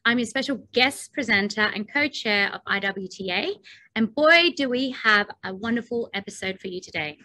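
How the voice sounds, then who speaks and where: A woman's voice: mid-range voice, lively intonation, moderate pace, sharp tone, dry recording, rough recording. A woman in her thirties hosting an interview in an effusive, upbeat way over a video call. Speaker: interview host. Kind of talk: upbeat scripted introduction and interview.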